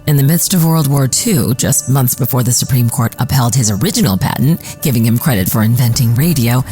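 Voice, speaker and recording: A woman's voice: rumbling low, brisk, warm tone, faint music behind. A woman hosting and narrating a radio theater show in a scripted, dramatic style.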